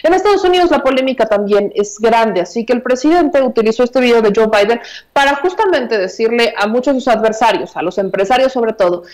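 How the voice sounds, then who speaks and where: A woman's voice: on the high side, lively intonation, a little fast, a little mellow, low-quality recording. A woman in her thirties with a Mexican accent giving news commentary from a small room at home, emphatic and conversational, repeating her points. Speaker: political commentator. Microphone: earphone mic.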